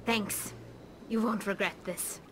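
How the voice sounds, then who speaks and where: A woman's voice: medium pitch, fairly even intonation, takes it slowly, noisy background, natural voice. A woman playing an earnest young alien pilgrim in a video game, explaining things precisely. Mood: earnest, determined. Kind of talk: video game cutscene acting.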